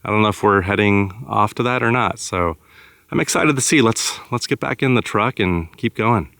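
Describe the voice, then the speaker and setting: A man with a raspy voice vlogging casually outdoors in the desert, thinking aloud as he goes in and out of his truck. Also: on the low side, moderate pace, fairly bright tone, no echo. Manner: thinking aloud, rambling with fillers. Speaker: travel vlogger. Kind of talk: casual travel vlog.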